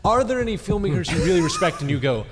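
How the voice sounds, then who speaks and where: A man's voice: sing-song, fast talker. A man moderating a live panel in a casual, bantering way. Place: large convention panel room. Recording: echoey hall, faint rumbling noise.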